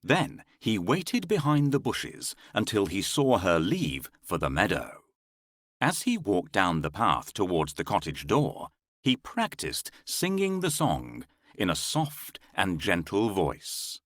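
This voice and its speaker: Male storyteller, low pitch, slow delivery, very lively intonation, scripted children's narration, dry studio-like sound. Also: no room sound.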